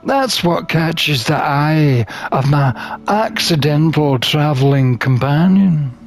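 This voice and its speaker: A man reading a visual novel aloud in an expressive storytelling style. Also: fairly high pitch, takes it slowly. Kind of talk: expressive scripted narration and character reading.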